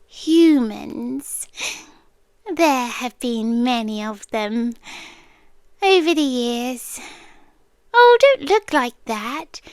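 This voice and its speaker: A British woman playing a possessive, centuries-old monster girl in an ASMR roleplay, softly coaxing and sweetly sinister, often dropping into a breathy whisper. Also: sits high, no echo, full character voice. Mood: eerily soothing. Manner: slow, coaxing, eerily reassuring with dramatic pauses. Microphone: close ASMR microphone, dry sound.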